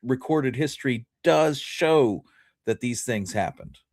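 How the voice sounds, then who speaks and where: A man co-hosting a casual history discussion, explaining his points in a measured, deliberate way. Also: mid-range voice, animated, a little mellow, dry recording, studio quality. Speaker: podcast co-host.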